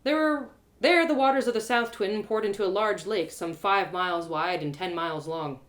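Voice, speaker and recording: A woman reading her own audio drama aloud live, with an expressive delivery, often laughing at her own lines. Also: mid-range voice, normal speed. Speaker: storyteller.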